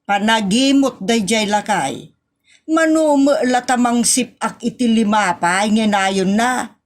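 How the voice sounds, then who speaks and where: Comic fault-finder played by a woman, Ilocano accent, fairly high voice, colloquial complaining for audio drama. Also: slow. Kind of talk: comedy audio drama acting.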